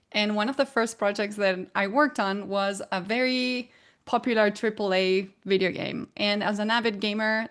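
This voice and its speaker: A woman's voice: mid-range voice, fairly bright tone, clean tone. Argentine-accented woman presenting a lesson. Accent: Argentine Spanish. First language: Spanish. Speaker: guest presenter. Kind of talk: presenting a talk.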